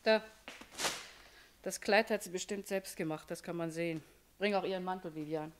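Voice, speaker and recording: A woman's voice: fairly low pitch, moderate pace, background noise, natural voice. An actress playing a jaded, world-weary woman shop owner with a shady past, dismissive and brusque, in a German radio crime drama. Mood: jaded, indifferent.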